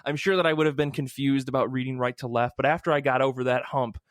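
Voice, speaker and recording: A man's voice: mid-range voice, steady tone, fast, no room sound, studio quality. A man in his thirties hosting a podcast and chatting casually. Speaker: podcast host. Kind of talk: casual comics podcast chat.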